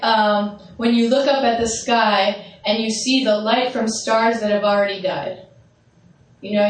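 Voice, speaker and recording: Woman, meditation teacher, strong carrying voice, fairly high, heard from across a big echoing hall. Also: far from the mic. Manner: guides listeners through visualizations, often checking in with "right?". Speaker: spiritual teacher. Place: large echoey meditation hall. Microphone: speaking without a microphone. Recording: roomy hall echo, voice off-mic.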